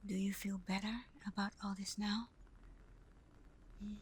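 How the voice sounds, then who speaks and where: Slow, whispering vampire girlfriend, played by a woman. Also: medium pitch, little pitch movement, slight background noise, natural voice. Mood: affectionate, teasing. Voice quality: soft, breathy whisper. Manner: gentle, unhurried, intimate, with soft pauses. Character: tender, devoted vampire girlfriend, adult woman. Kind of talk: ASMR roleplay, intimate monologue to listener.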